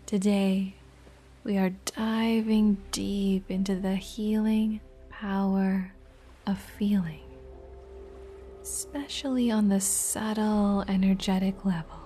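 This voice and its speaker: A woman leading a guided meditation in a soft, breathy, near-whispered voice, gently, with long pauses between short phrases. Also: medium pitch, flat delivery. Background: music.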